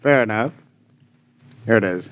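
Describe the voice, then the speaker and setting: An actor playing a cold, sly gang leader in a 1940s radio crime drama, speaking with smug menace. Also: male voice, fairly low pitch, measured pace, close to an everyday voice. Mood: guarded, sly. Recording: muffled 1940s radio recording.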